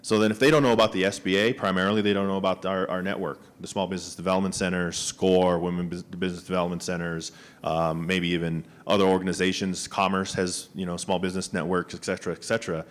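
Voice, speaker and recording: A man's voice: deep voice, flat delivery, fast talker. A man, a small business agency official, giving conversational panel remarks with frequent fillers and restarts. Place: conference room panel.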